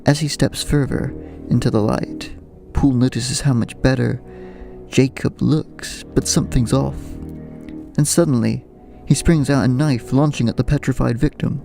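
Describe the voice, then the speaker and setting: A man narrating a horror audio drama in a measured, suspenseful way. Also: medium pitch, steady tone, creaky, dark tone. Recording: music underneath.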